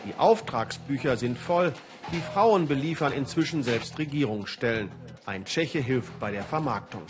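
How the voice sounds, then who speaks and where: A man's voice: medium pitch, animated, normal speed, music in the background. A man narrating a scripted television news report.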